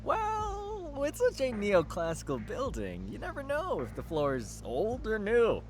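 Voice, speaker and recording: Animated, nerdy art buff. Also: male voice, sits high, measured pace, slight background noise, full character voice. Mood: enthusiastic. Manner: expressive, lecturing, teasing. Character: chatty, nerdy, good-natured male art buff friend. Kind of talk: video game voice acting.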